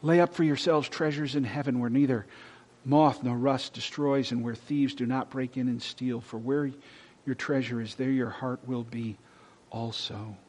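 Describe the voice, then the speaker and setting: A male pastor preaching a sermon, reading scripture loudly and dropping to soft, hushed whispers. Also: medium pitch, fairly even intonation, moderate pace. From Texas.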